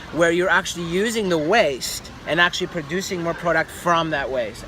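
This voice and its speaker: Emphatic higher-pitched man, noisy outdoor recording. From Albania. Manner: emphatic, repeats phrases for stress. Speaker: fashion designer, interview guest. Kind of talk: casual interview talk. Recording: noisy outdoor street with traffic.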